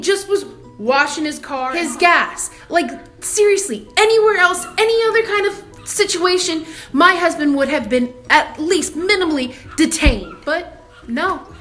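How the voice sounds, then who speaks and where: Female vlogger, young adult, high sharp voice, casual emphatic storyteller with an indignant edge. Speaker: vlogger telling a personal story.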